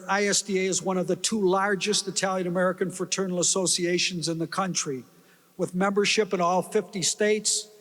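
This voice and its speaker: High-voiced man announcing in a hall. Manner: reads thank-yous off a script. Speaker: event emcee. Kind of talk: formal announcements. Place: banquet hall at a gala. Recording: reverberant banquet hall.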